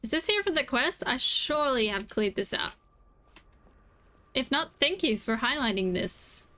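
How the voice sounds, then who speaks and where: A woman's voice: high-pitched, sing-song, unhurried, bright, forceful tone. A woman streaming a game, giving casual reaction commentary as she plays.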